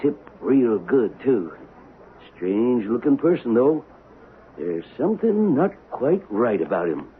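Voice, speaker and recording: Chatty, folksy western barber. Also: male voice, medium pitch, sing-song, measured pace. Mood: gossipy. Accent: American. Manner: folksy, deferential. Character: chatty, gossipy small-town western barber, a man. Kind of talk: 1970s radio drama acting. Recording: lo-fi vintage radio, narrow band, faint noise.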